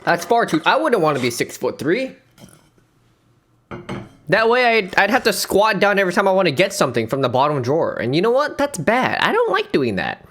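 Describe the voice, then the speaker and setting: A man's voice: sits high, wildly swooping pitch, fairly quick, a bit bright. A man streaming, giving rambling, playful reaction commentary on a dating show and laughing often.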